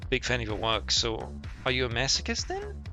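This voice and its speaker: A man's voice: medium pitch, wildly swooping pitch, moderate pace, pushed and bright, soft background music. A man giving casual, sweary and sardonic commentary as he plays a game and reads its text aloud. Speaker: game streamer.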